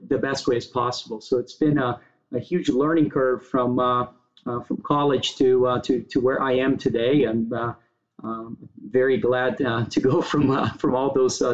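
Brazilian guy in his 30s, monotone, halting, muffled lo-fi sound. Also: medium pitch. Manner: halting, many fillers and restarts. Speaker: guest. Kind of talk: interview answers. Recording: dull lo-fi sound.

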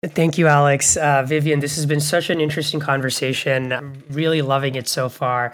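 A man from Bangladesh co-hosting a podcast interview, speaking in a reflective, measured way with frequent restarts. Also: fairly high pitch, flat delivery, fairly bright tone. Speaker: podcast co-host. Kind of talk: conversational interview.

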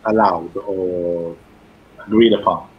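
A man in his forties from Israel with an Israeli accent, a guest in a casual interview, explaining his work carefully with many pauses and fillers. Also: sits low, fairly even intonation, husky, narrow, tinny audio. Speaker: guest, fitness trainer and shiatsu practitioner.

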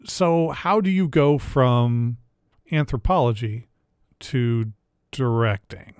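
A man's voice: mid-range voice, slow pace, darkish tone, clean tone, dry recording, studio quality. Chatty curious male podcast host. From Indiana area. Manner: chatty, curious, restarts phrases often. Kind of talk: casual interview.

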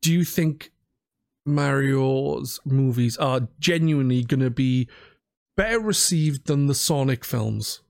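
A man's voice: medium pitch, lively intonation, slow, vocal fry, darkish tone, dry recording, studio quality. A man in casual podcast chat, with a silly, crude way of joking. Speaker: podcast guest or co-host.

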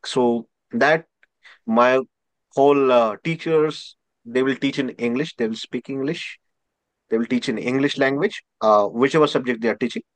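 Halting Indian-accented man, dry sound. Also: mid-range voice, dry recording. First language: Marathi. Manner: halting, with restarts and fillers. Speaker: guest scholar. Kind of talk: interview answer.

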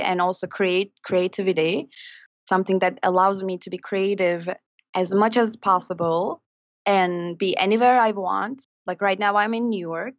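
A woman in her thirties from Turkey, speaking English with a Turkish accent as a podcast guest, in a halting way full of fillers as she searches for words. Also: mid-range voice, no room sound, dull audio. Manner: halting, searching for words, many likes and ums. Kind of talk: casual interview chat.

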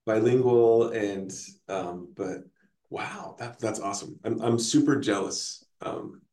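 A man hosting a podcast interview, enthusiastic and halting as he restarts his questions. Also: on the low side, mellow, echoey room. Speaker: podcast host.

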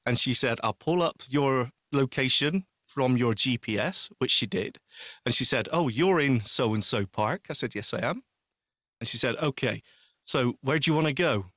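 A man telling an anecdote in casual chat, animated and emphatic, repeating phrases for effect. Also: mid-range voice, normal speed, dry recording, clean studio sound. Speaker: podcast co-host.

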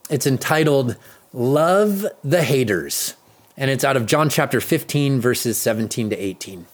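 A man with an American accent speaking as a pastor, reading a devotional in an earnest, deliberate way with pauses for emphasis. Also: medium pitch, animated. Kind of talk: read devotional with earnest teaching and prayer.

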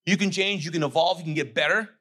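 A middle-aged man, raised in Kuwait, with English as neither his first nor second language, preaches from a church stage. His voice sits a bit on the high side. He keeps it chatty, acts out the voices of imagined people and stops to get the room to answer. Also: quick pace, pushed and bright, husky. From Pakistani family, raised in Kuwait. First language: not English. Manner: conversational preaching, quoting imagined voices, asks the crowd to answer. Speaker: pastor. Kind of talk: sermon.

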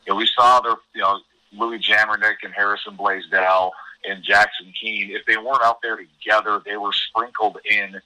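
A man's voice: fairly low pitch, level tone, normal speed, sharp tone, dry recording, poor audio. A man giving conversational hockey analysis as an interview guest, emphatic and repeating words as he explains.

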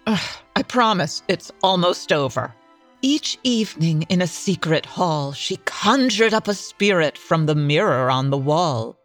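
A woman's voice: on the low side, lively intonation, a little slow, soft background music. A woman as announcer, giving theatrical storybook narration in the manner of old-time radio.

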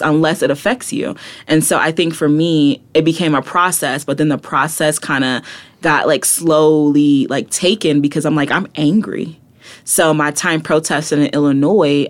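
A young adult woman, a poet and activist, talking passionately as a podcast guest, with frequent "like" and "right?" asides. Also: sits low. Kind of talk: reflective casual interview talk.

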